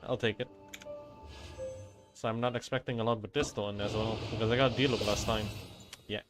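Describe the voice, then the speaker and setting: A man's voice: on the low side, fairly even intonation, normal speed. A man giving casual gameplay commentary, muttering his reactions to himself as he plays. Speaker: gaming video creator. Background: video game music.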